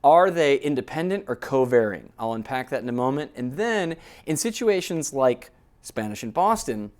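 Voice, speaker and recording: A man lecturing in a relaxed, conversational way, explaining ideas step by step and often checking in with his listeners. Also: mid-range voice, moderate pace. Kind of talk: academic lecture.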